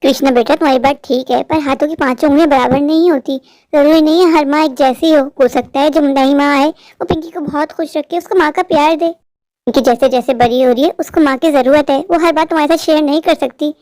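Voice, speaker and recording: A woman's voice: high voice, steady tone, a little fast, a little mellow, no echo, natural voice. Woman playing caring persuasive aunty, dry sound. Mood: earnest persuading. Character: caring, persuasive older neighbourhood aunty matchmaker. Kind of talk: Hindi cartoon dialogue.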